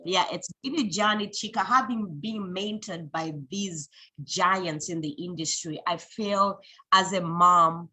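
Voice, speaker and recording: A woman from Zambia speaks as an interview guest. Her voice sits fairly low and sounds bright and forceful, and she talks in an earnest, reflective way, often backing up to restart a sentence. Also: unhurried, breathy edge. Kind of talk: conversational interview.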